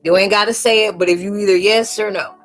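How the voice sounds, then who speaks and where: A woman hosting a casual spiritual chat in a rambling, animated way. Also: medium pitch, fast, faint music behind. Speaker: live stream host.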